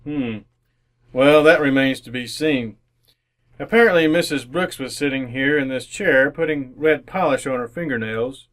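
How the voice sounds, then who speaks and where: Man playing a measured, formal police inspector. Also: mid-range voice, fairly bright tone, natural voice. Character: shrewd, methodical male police inspector, coolly accusing. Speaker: amateur radio actor. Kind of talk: old-time radio mystery acting.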